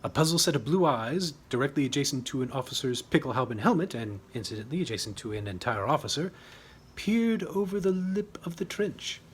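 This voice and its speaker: A man's voice: mid-range voice, lively intonation, fairly quick. Wry male storyteller, slight background noise. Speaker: author reading own short story. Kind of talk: scripted comic narration.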